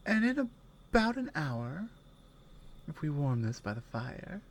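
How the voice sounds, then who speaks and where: A woman playing a strong, caring Nordic warrior companion in an intimate ASMR roleplay, speaking in halting phrases that often drop toward a whisper. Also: low voice, sing-song, exaggerated voice. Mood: reassuring, instructive. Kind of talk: ASMR roleplay, intimate one-sided dialogue.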